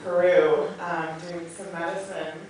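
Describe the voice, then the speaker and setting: A woman's voice: on the low side, fairly even intonation, measured pace, a little mellow, far from the mic. A woman, a film actress, talking candidly and earnestly in a rambling way at a movie theatre Q&A. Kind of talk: candid personal panel talk. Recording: echoey theatre, off-mic, noisy.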